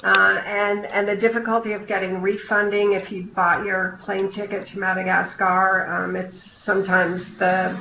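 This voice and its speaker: A woman giving an informational briefing on a conference call, in a measured, explanatory way with hesitant pauses. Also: medium pitch, flat delivery, gravelly. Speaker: program official briefing new volunteers. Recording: roomy, dull conference-call line with faint noise.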